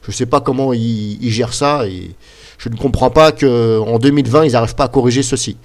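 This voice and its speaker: A man's voice: medium pitch, a little fast. A man with a slight cold, talking casually over a screen recording as a YouTuber, rambling and pointing things out with lots of "bah" and "voilà".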